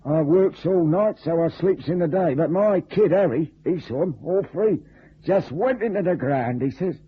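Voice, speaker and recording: A man's voice: on the high side, expressive, moderate pace, breathy edge. An actor playing a blunt, suspicious old night watchman with a working-class English accent in a radio detective drama. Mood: chatty, scornful. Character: gruff, plain-spoken old night watchman. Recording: tinny, narrow old radio recording.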